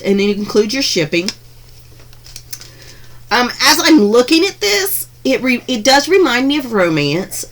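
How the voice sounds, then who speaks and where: A woman at a mid pitch talks casually through an unboxing in her home craft room, gushing and restarting her sentences. Her voice is slightly hoarse, and a faint hiss sits under the recording. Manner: chatty, gushing, with frequent ums and restarts.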